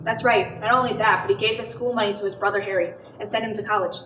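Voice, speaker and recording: A woman's voice: medium pitch, steady tone, fast, natural voice. A young woman playing a knowing storyteller who narrates a radio play. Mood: matter-of-fact. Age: young adult. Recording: echoey room, distant mic, some noise.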